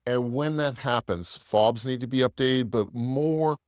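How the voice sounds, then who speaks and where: Man giving a casual software walkthrough, dry sound. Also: medium pitch, measured pace, dry recording. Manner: plain-spoken, explanatory, conversational. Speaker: software demo presenter.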